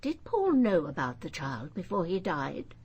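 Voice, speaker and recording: A woman's voice: lowish voice, huge pitch swings, unhurried, darkish tone, a little hiss or hum, heavily acted. An actress playing an older aristocratic English lady in a radio drama, speaking in a clipped, commanding and formal way. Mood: cool, probing. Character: imperious old aristocratic English lady, controlled and probing.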